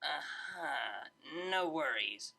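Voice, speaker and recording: A man's voice: on the high side, lively intonation, very slow, creaky, sharp tone, heavily acted, rough recording. A man voicing an easygoing, cocky young female unicorn DJ who talks in casual, confident slang in a storybook reading. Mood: curious, casual.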